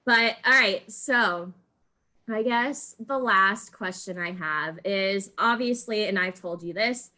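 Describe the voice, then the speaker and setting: A woman with an American accent hosts a casual interview. Her voice is bright and sits at a middle pitch, and she speaks informally with a teasing edge. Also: slow. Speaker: podcast host, interviewer.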